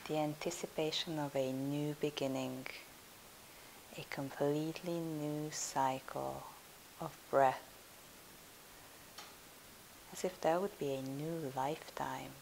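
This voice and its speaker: A woman leading a guided yoga nidra meditation as a teacher, in a soothing, hushed, unhurried manner. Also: deep voice, gravelly, rough recording. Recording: steady hiss.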